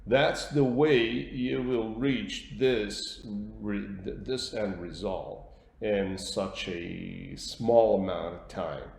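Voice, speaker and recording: A man with a Portuguese accent presenting an instructional webinar as a dentist, repeating words for emphasis in halting phrases. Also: lowish voice, creaky, a little mellow. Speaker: dentist giving a webinar lecture. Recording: roomy echo with light background noise.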